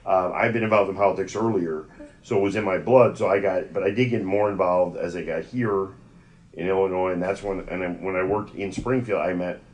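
Low-voiced middle-aged American man. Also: fairly even intonation, a little fast, a little mellow, rough recording. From United States (Yonkers, New York). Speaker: interview guest recounting personal history. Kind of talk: conversational oral-history storytelling.

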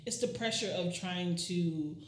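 A woman's voice: fairly low pitch, measured pace. A woman co-hosting a podcast in casual chat, emphatic and repeating her key phrases. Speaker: podcast co-host. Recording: roomy echo.

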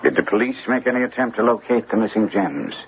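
An actor playing a composed, analytical gentleman detective in a classic radio drama, speaking in a deliberate, questioning way. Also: on the low side, expressive. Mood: probing, composed. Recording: tinny, noisy old radio recording.

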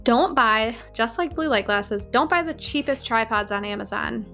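Gravelly high-voiced woman co-host. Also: lively intonation, moderate pace, pushed and bright, faint music behind. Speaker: podcast co-host. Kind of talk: rapid-fire podcast tips.

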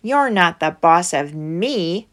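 A woman's voice: fairly low pitch, animated, takes it slowly, a bit bright. A woman voicing grumbling, defiant Israelite complainers in a children's storybook, with a petulant, challenging manner.

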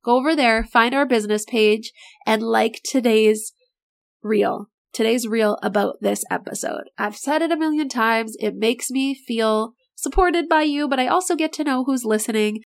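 A middle-aged woman talks through her solo podcast with a bright, motivational enthusiasm. Her voice sits a little high and carries a gravelly fry, and it sounds a touch raspy and worn from a long day of talking. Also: normal speed. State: voice getting raspy, not feeling great. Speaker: podcast host and business owner. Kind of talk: casual solo podcast talk.

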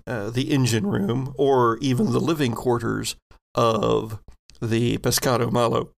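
A man's voice: medium pitch, gravelly. A man working as game master, narrating an improvised sci-fi tabletop game descriptively and putting questions to the players.

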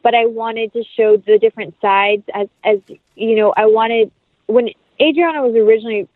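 A woman's voice: a little high, fairly even intonation, moderate pace, no room sound, narrow, tinny audio. A woman chatting casually and informally as an actress being interviewed, rambling as she explains. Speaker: interview guest, film actress.

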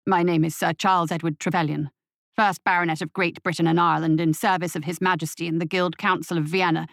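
A woman's voice: on the low side, flat delivery, quick pace, vocal fry, no room sound, clean studio sound, voice pushed down. A woman voicing a pompous, aristocratic male emissary who speaks in a haughty, clipped and dismissive manner. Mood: formal.

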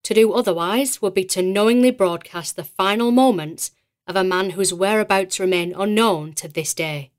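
A woman playing a poised podcast host of eerie mysteries in an audio drama, with a measured, articulate, theatrical delivery. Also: mid-range voice, close to an everyday voice. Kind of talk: scripted podcast narration.

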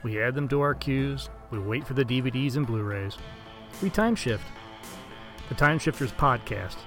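A man's voice: mid-range voice, expressive, moderate pace. A man narrating a scripted podcast promo as its announcer. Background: guitar music.

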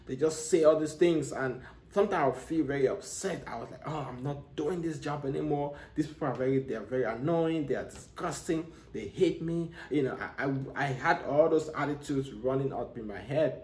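A man's voice: mid-range voice, darkish tone. Cameroon-born guy doing a solo podcast, earnest and motivational, keeps restarting lines, echoey room. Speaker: podcast host.

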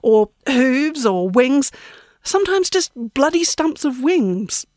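A prim and eerie old woman speaks with genteel, unhurried calm, as though she already knows everything. A woman narrator puts her on, in a fairly high voice. The sound is dry and close. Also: no echo, exaggerated voice. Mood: calm, knowing.

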